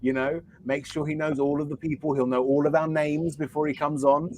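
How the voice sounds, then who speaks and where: A man's voice: a little high, flat delivery, a little fast. Teasing man chatting, slightly noisy line. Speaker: fan podcast panellist. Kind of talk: casual panel chat with banter.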